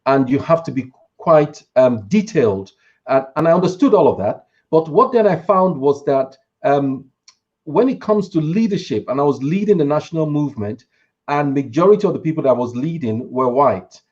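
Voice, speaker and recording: A man recounting experience on a discussion panel in careful, measured, halting phrases. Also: on the high side, animated, a little mellow, dull audio. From Nigeria. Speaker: church leader on a panel.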